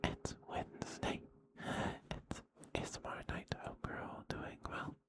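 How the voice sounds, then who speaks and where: A soft-spoken man hosting a casual ASMR livestream, talking to viewers in an unhurried, affectionate way. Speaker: streamer, host of the show.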